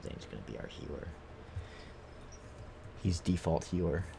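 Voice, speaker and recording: A man's voice: sits low, animated, measured pace, creaky, background noise. A man giving casual, rambling live gameplay commentary as a streamer, thinking aloud as he goes. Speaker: video game streamer.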